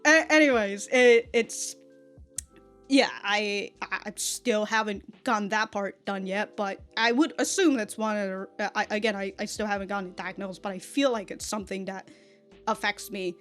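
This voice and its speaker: A young woman on a casual, rambling rant, stammering and repeating words as she goes, with animated, sweary delivery. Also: mid-range voice. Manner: often laughs while talking. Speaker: online content creator.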